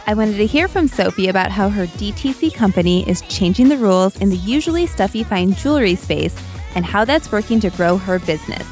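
A woman's voice: medium pitch, lively intonation, a little fast, a little mellow, faint music behind. A woman, interviewing in a casual, informal and reactive way as a podcast host. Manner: informal, reactive, rephrases questions as she goes.

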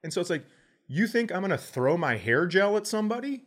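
A man's voice: high voice, sing-song, a little fast, fairly bright tone. Sweary man telling casual comic stories. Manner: sweary, sarcastic ranting. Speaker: comedy podcast host. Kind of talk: casual comedic storytelling.

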